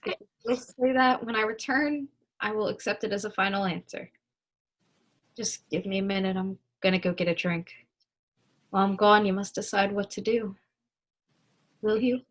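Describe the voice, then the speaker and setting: A woman's voice: medium pitch, moderate pace, long pauses, natural voice. A young woman acting an ardent, impatient lover in a stage melodrama, declaiming with passion. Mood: resolute. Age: young adult. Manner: declamatory, impassioned. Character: ardent, impatient lover, eloquently scolding and pleading. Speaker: student actor. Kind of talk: stage acting in a one-act period melodrama.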